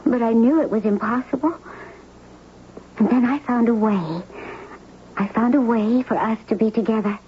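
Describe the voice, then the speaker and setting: An actress playing a mysterious, gentle young woman from the sea, earnest and pleading in a 1970s radio drama. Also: on the high side, normal speed, long pauses, lo-fi sound, close to an everyday voice. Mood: tender, yearning. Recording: noisy, band-limited 1970s radio broadcast recording.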